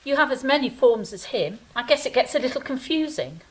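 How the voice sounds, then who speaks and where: A woman's voice: sits high, animated, a little fast, husky, poor audio. A woman playing a flippant, restless, ever-hungry angel in a comic audio drama, wry and petulant.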